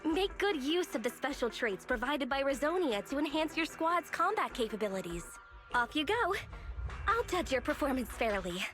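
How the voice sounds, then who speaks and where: Stern, high-voiced female exam official. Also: sing-song, moderate pace, a bit bright. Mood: stern, formal. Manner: formal, strict, cautionary. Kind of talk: video game voice acting. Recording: music behind the voice.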